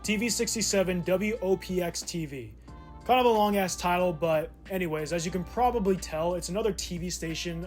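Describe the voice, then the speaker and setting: Male video narrator, higher-pitched voice, irreverent and sarcastic, casual scripted delivery with loose asides, light music underneath. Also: a little mellow. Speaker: video essay host.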